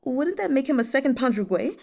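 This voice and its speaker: Woman voicing a fretful, high-pitched palace eunuch. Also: big pitch swings, fairly quick, vocal fry, darkish tone, heavily acted. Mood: anxious, wary. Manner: plaintive, deferential complaining. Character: fretful, envious male palace eunuch official.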